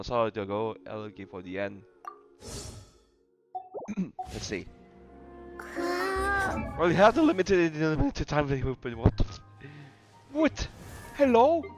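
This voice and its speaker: Stammering, animated man commentating on a game. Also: on the high side, lo-fi sound. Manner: halting, stammering, repeats words. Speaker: gaming streamer. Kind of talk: casual live gameplay commentary.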